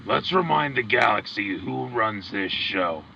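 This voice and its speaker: A man playing a rousing, patriotic wartime radio commentator in a scripted broadcast, with a gravelly voice and emphatic delivery. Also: on the low side, expressive, a little slow, bright, forceful tone, lo-fi sound, full character voice. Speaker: radio host. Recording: clear vehicle and machinery noise behind.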